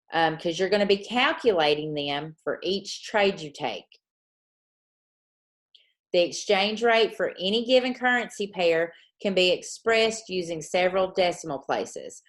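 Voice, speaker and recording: A woman teaching a trading lesson, dictating slowly and repeating points, often checking in with "okay?". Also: on the low side. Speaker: forex trading coach.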